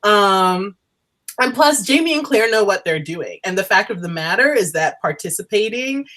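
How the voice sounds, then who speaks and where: A woman's voice: mid-range voice, animated, moderate pace, sharp tone. A woman chatting casually as co-host of a TV review show, emphatic and restating her points.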